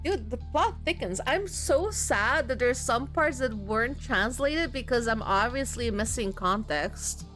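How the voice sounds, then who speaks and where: Woman, high-pitched voice, casual game commentary, informal and reactive, faint music in the background. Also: expressive, a little slow, hardly any pauses. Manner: informal, reactive, thinking out loud. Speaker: game streamer playing a horror visual novel. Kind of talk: casual let's-play commentary, reading game text aloud.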